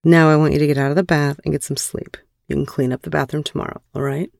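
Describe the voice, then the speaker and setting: A woman's voice: deep voice, level tone, fast, gravelly, a little mellow, dry recording, studio quality. A woman voicing a dominant, aroused male lover, teasing and stern, in an audio erotic story. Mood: tender, satisfied.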